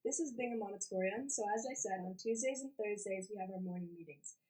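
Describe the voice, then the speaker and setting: Fast-talking teenage girl giving a tour. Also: mid-range voice, dark tone, poor audio. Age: teens. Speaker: student tour guide. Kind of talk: scripted tour narration.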